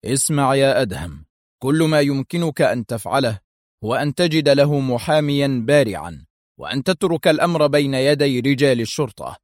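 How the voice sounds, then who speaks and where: A man's voice: medium pitch, expressive, a little fast, a little mellow, no room sound, studio quality, lightly acted. A man voicing a stern, exasperated male intelligence director who speaks with authority and warning in an audiobook thriller.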